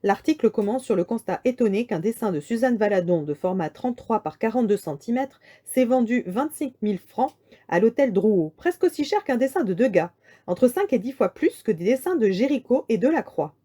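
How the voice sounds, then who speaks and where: A woman reading a scripted lecture in a measured, articulate way with deliberate emphasis. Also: a little high, animated, warm tone. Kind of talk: scripted lecture read aloud.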